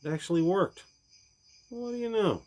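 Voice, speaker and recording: A man reading a rhyming storybook aloud in a theatrical way, with deliberate pauses. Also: sits high, expressive, darkish tone. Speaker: reader of a storybook.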